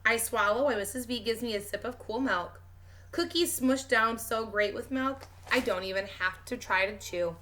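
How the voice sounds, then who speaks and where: Bright-voiced woman reading a story aloud. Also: on the high side, moderate pace, slight background noise. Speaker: teacher reading a children's novel aloud. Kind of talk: expressive read-aloud narration.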